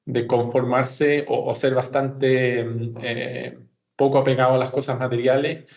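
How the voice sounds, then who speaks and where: A Chilean man in his forties speaks as an interview guest at a middle pitch. His delivery is even, explanatory and conversational, with hesitations and self-corrections. The recording sounds slightly dull and lo-fi. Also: unhurried. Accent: Chilean Spanish. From Santiago, Chile. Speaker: podcast guest, company executive. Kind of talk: conversational interview answers, personal recollection.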